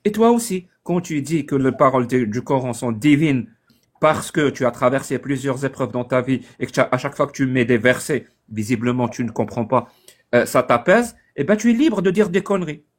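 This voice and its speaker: A man speaks firmly in a live online debate, hammering his point with repeated, pointed questions. His voice sits at a middle pitch. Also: animated, fairly quick. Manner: insistent, pressing questions.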